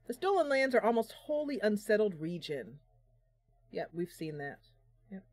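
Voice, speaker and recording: A woman's voice: medium pitch, big pitch swings, moderate pace, a little mellow, dry recording. A woman giving casual gameplay commentary, chatting to viewers and thinking aloud as she goes. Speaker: gaming channel host. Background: game music.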